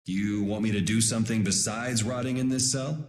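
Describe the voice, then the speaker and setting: A man's voice: lowish voice, fairly even intonation, normal speed, a little hiss or hum, natural voice. An actor playing a cool, sardonic young swordsman in a video game, talking in a dry, casual way. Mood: cool, thoughtful. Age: young adult. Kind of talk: dramatic video game voice acting. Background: background music.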